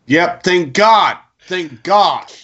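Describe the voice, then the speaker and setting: A man chatting casually on a podcast in a halting but emphatic way. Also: high voice, animated, fairly bright tone, lo-fi sound. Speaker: podcast participant. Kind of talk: casual chat.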